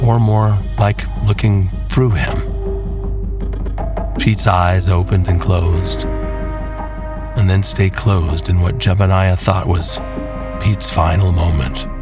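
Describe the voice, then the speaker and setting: A man narrating a scripted horror western tale in a measured, deliberate way, with music behind him. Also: low voice.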